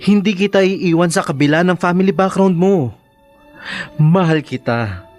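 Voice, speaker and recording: High-pitched, earnest devoted suitor played by an actor. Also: male voice, normal speed, darkish tone, soft background music, lightly acted. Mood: pleading, loving. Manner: earnest, pleading, mixes in English. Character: devoted, persistent young male suitor, sincere. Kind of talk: Filipino radio drama acting.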